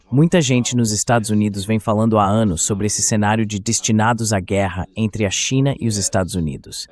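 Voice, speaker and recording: A man's voice: on the low side, darkish tone. A man with a Brazilian accent speaking as a dubbed interview guest, giving political commentary in an emphatic, didactic manner.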